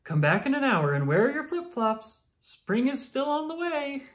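A reader as an eager, high-pitched groundhog forecaster. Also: very animated, unhurried. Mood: eager, reassuring. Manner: declamatory, earnest. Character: eager young male groundhog forecaster, proclaiming grandly.

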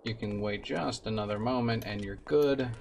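A man's voice: fairly low pitch, moderate pace, vocal fry, far from the mic, slight background noise. A young man giving casual, laid-back gameplay commentary as a streamer, explaining things informally. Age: young adult. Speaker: video game streamer. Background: loud game music and gunfire.

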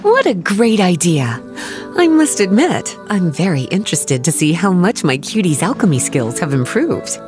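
A woman's voice: fairly low pitch, sing-song, normal speed, over music. A woman voicing a poised, teasing witch mentor in a video game, with a languid, coy manner. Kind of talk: video game dialogue acting.

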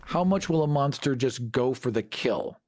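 A man's voice: medium pitch, lively intonation, moderate pace, darkish tone, dry recording, studio quality. A man co-hosting a podcast, moving between promo reads and discussion. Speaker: podcast producer and co-host.

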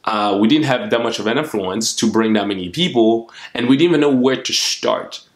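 A man's voice: medium pitch, a little fast. A man in his twenties from the Democratic Republic of Congo, speaking earnestly to camera as a motivational vlogger. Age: 20s. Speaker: YouTube host. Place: small furnished room at home.

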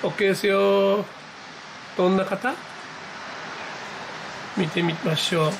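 A man giving an excited, casual running commentary to viewers as a radio hobbyist, exclaiming and muttering to himself. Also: high voice, a little slow, creaky, noisy background. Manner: exclamatory, talking to himself and the screen. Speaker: amateur radio hobbyist narrating own video. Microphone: handheld camera mic, pointed at computer screen.

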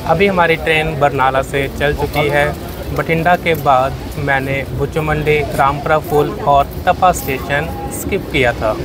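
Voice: medium pitch, fairly even intonation, unhurried, over music, poor audio. A travel vlogger explains a train journey, addressing viewers directly. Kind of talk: train journey vlog commentary. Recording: loud train and outdoor noise. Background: train, vehicle and outdoor sounds.

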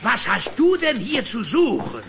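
High, creaky, scheming sheriff. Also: male voice, big pitch swings, measured pace, music in the background, heavily acted. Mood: falsely polite. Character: scheming, mocking male sheriff villain of cartoon animals. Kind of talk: cartoon radio play acting in German.

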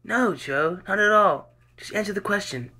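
Dude putting on a smooth doctor voice, kinda talking down, pushy questions. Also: male voice, high voice, normal speed, bright, forceful tone, voice pushed up, heavily acted. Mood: probing. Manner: coaxing, patronizing questioning. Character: probing male doctor questioning a patient, smooth and patronizing.

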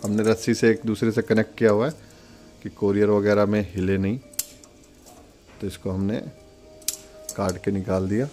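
A man's voice: fairly low pitch, little pitch movement, normal speed, pauses often, warm tone, faint music behind. A man narrating a casual, step-by-step product demonstration in an instructional way, pointing things out as he goes. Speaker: product demonstrator and channel host.

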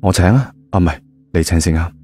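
A man as a cheeky, low-voiced young charmer. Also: fast, darkish tone, soft background music. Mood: teasing. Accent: Hong Kong Cantonese. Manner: teasing, nonchalant.

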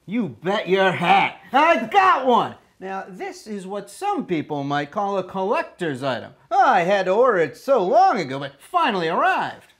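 A man playing a folksy father who talks slowly and draws his words out. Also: high-pitched, huge pitch swings, fairly bright tone, full character voice. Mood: proud, anticipating. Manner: slow, drawn-out delivery. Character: folksy father secretly planning a holiday costume surprise.

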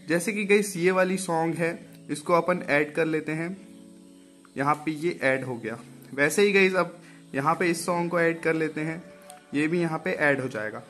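Indian guy doing casual phone how-to, kinda high voice, keeps repeating steps, bit of music behind. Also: a bit bright. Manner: conversational, repeatedly addressing viewers as guys, repeats instructions. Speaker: tech tutorial host. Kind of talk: casual step-by-step phone tutorial.

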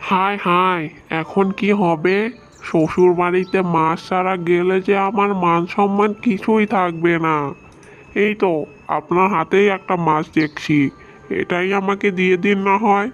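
A man's voice: high-pitched, fairly even intonation, unhurried, fairly bright tone, highs cut off, pitched above the performer's own voice. A man voicing a pushy village neighbour in a cartoon, wheedling and insistent. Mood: pleading. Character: pushy, pleading male village neighbour wanting fish. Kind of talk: cartoon dub.

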